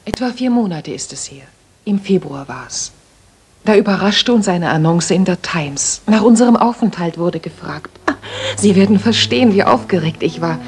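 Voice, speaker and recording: A woman's voice: fairly low pitch, expressive, normal speed, a little hiss or hum, lightly acted. An actress playing a worried young lady music teacher in a German radio play, speaking politely, earnestly and formally. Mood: recounting, excited.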